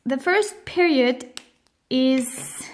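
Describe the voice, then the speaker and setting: A woman with a slightly high voice speaks English with a Swiss German accent; her first languages are Spanish and Swiss German. She teaches at an unhurried pace, pausing often and leaning on her key words. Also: lively intonation. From grew up on the other side of the globe. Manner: slow, careful, emphatic delivery with frequent pauses. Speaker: language educator. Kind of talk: explainer video lesson.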